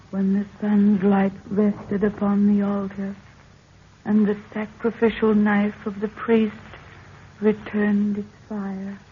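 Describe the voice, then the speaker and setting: A woman's voice: medium pitch, close to an everyday voice. An actress playing a sleepwalking young woman in a trance, intoning archaic words solemnly in an old radio drama. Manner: solemn, monotone, archaic incantation. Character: entranced sleepwalking young woman channelling an ancient priestess. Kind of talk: 1930s radio adventure acting. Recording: distant, muffled, hissy 1930s radio recording.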